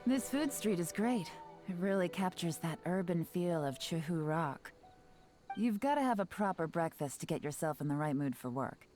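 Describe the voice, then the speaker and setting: A woman voicing a shrewd, playful young spymaster in a video game, teasing and self-assured, with a smooth delivery. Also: lowish voice, animated, moderate pace, a little mellow, lightly acted. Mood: relaxed, musing. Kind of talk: scripted video game character dialogue. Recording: game music behind the voice.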